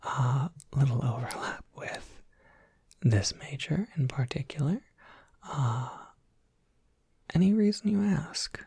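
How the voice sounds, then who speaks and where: A person voicing a courteous Cerberus professor in a whispered ASMR roleplay, polite, gently scholarly and prone to rambling, with a soft breathy whisper. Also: mid-range voice, lively intonation, slow, long pauses, no room sound, studio quality, exaggerated voice. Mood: courteous, welcoming.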